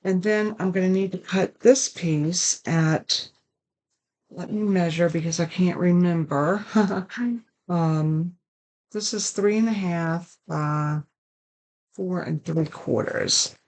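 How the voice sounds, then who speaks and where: An older woman, raspy and hoarse from a sinus infection, hosting a casual card-making tutorial at her craft desk. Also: lowish voice, slow pace, darkish tone. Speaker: card-making channel host.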